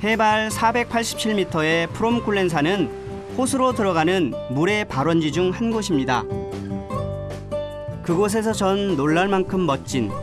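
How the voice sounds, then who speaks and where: A man giving calm, measured scripted voice-over narration for a travel documentary. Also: high voice. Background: background music.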